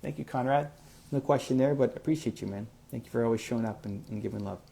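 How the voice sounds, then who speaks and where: A man in his forties hosts a live motivational stream, answering viewer questions one after another. He speaks at a middling pitch in an energetic, encouraging, off-the-cuff way, full of casual asides. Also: fast, gravelly, a little hiss or hum. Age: 40s. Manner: energetic, encouraging, conversational with fillers. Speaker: motivational livestream host.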